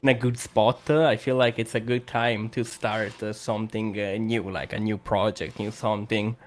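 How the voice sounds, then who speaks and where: A young man from Italy with an Italian accent, chatting casually as a podcast co-host in halting, hesitant phrases. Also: on the low side, little pitch movement. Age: young adult. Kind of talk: casual conversational podcast talk.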